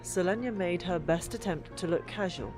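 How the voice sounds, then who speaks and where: A woman narrating a fantasy audiobook in an expressive, deliberate storytelling manner. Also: on the low side. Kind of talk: dramatic scripted fiction narration. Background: background music.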